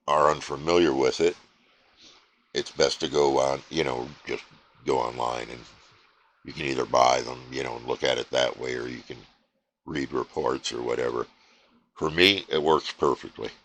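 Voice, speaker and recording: A man casually chatting to camera about his radio hobby, rambling and relaxed with frequent fillers and restarts. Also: a bass voice, creaky, a little hiss or hum. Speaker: CB radio hobbyist and channel host. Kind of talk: casual unscripted vlog chat.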